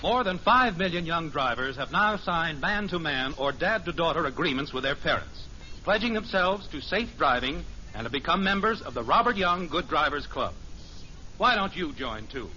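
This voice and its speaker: Bright, forceful male radio announcer. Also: fairly high pitch, big pitch swings, normal speed, a little hiss or hum. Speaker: closing announcer of a radio show. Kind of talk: 1950s scripted radio announcing. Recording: muffled old radio recording, faint music.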